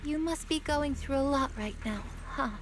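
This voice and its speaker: An actress voicing a devoted, gentle-hearted young female soldier in a video game, speaking earnestly and from the heart. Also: sits high, normal speed, a little hiss or hum. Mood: tender, earnest. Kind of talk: dramatic English video game voice acting.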